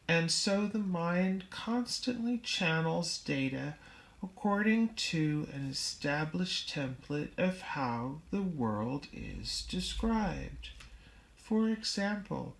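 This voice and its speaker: Woman, audiobook narration, slow pace, measured phrasing, deliberate pauses. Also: on the low side, expressive, creaky, rough recording. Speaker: audiobook reader. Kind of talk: scripted book narration.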